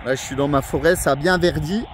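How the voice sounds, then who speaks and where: Man, high-pitched voice, hobby radio operator, casual chat, friendly, rambling, repetitive phrasing. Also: brisk, a crowd in the background. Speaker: amateur CB radio operator and video maker. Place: outdoors in a forest area, mobile radio.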